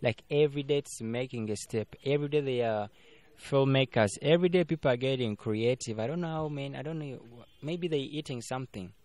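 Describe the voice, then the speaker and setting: A man's voice: medium pitch, a little fast, a little mellow, no room sound. A young man from Uganda, a filmmaker guest, chatting casually in an interview, with lots of fillers and restarts. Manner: rambling, frequent fillers and restarts.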